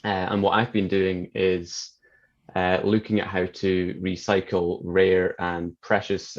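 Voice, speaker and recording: A young man with a Scottish accent, explaining things in an interview in a measured, plain-spoken way. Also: deep voice, highs cut off. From north of Scotland. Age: young adult. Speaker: chemistry researcher being interviewed.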